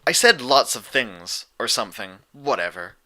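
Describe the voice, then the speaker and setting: A man's voice: mid-range voice, big pitch swings, normal speed, pushed and bright. A man playing a brash, cocky young female pegasus pony in a story reading, with blunt, slangy bluster. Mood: defensive, smug.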